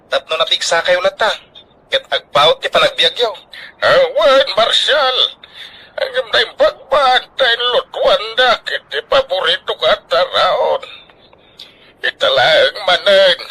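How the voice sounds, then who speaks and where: An actor with an Ilocano accent playing a devoted, affectionate grown son, emotional and solicitous in a radio drama. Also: male voice, high-pitched, bright, forceful tone, slightly rough, exaggerated voice, low-quality recording. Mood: caring. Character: devoted, affectionate grown son fussing over aging parents.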